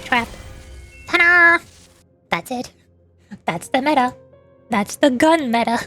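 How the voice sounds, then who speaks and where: A woman's voice: high-pitched, lively intonation, slow pace, bright, forceful tone, faint music behind. A woman streamer giving casual, chatty, opinionated gaming commentary in a rambling way. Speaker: VTuber streamer.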